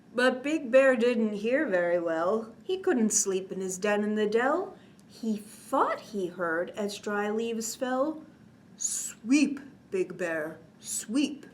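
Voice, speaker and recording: A woman's voice: on the high side, slight background noise. A woman reading a children's picture book aloud expressively, in a sing-song way with chatty little asides. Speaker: children's storytime reader.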